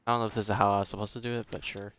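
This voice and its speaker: A man's voice: on the low side, level tone, racing, fairly bright tone, muffled recording. A man giving casual live gameplay commentary as a streamer, slangy and rambling as he thinks aloud. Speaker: game streamer.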